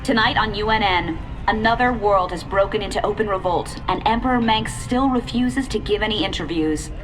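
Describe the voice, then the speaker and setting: A woman playing an earnest sci-fi TV news anchor in a video game, with an American accent and crisp, formal newsreader phrasing. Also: mid-range voice, a little fast, music in the background, lightly acted, rough recording. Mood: newsy, composed. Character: polished, earnest female TV news anchor of a space dominion. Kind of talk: scripted news broadcast delivery.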